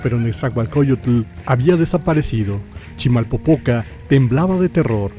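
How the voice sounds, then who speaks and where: Casting: male narrator, Mexican accent, solemn theatrical history storytelling, medium pitch, set over clear background music. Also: expressive, normal speed, mellow. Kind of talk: dramatic scripted historical narration.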